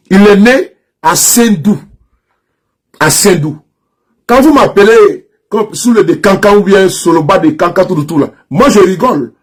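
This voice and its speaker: A man's voice: high voice, slightly rough. A man from Ivory Coast with an Ivorian French accent, talking straight to his audience in an insistent, mocking, repetitive way, often restarting his sentences. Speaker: social media commentator. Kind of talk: direct-to-camera political rant.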